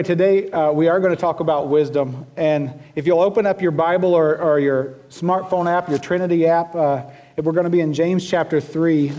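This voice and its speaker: Southern pastor with a folksy delivery. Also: male voice, on the high side, dark tone. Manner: folksy, self-deprecating, many restarts. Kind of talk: sermon.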